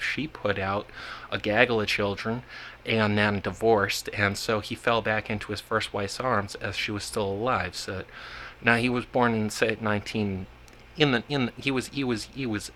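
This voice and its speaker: Male vlogger, low-set voice with a sharp edge, wanders and stumbles through long halting asides. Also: slight background noise. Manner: meandering, halting, many restarts and repeated words. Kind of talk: rambling monologue to camera.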